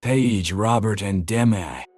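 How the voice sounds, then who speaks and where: A man's voice: on the low side, slow pace. A man voicing a cheerfully menacing enforcer. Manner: cheery tone over quiet threats, says "mate". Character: menacing jovial male enforcer, thickset hired guardian.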